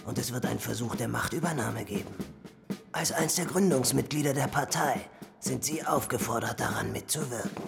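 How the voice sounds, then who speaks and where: An actor playing a zealous fascist party envoy in a German radio drama, formal, official and insistent, with a gravelly voice. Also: male voice, medium pitch, moderate pace, over music. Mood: formal, urgent.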